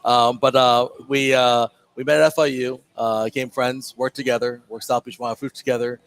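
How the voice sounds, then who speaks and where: A man's voice: mid-range voice, level tone, normal speed, a bit bright. A man who co-owns a restaurant, telling his story hesitantly with frequent "uh" and restarts, in a restaurant. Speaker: restaurant co-owner. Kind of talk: casual interview answers.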